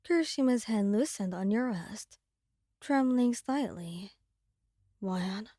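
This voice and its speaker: A woman's voice: on the high side, lively intonation, measured pace, dark tone, no echo, studio quality. A woman reading scripted dramatic narration as the storyteller of an ASMR audio roleplay.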